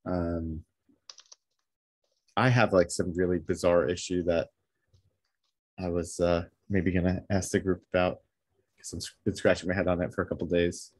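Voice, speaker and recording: A man with a deep, low voice talks over a video call from home. He thinks aloud, hesitating with fillers as he explains a problem. The delivery is casual and unhurried. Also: little pitch movement, darkish tone. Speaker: meetup attendee asking for help.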